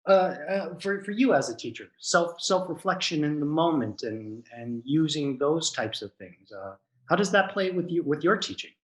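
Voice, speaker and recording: Man with a higher voice in an echoey room. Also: normal speed. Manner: relaxed, thoughtful, stammering restarts. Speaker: improv teacher, guest. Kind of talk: casual interview chat.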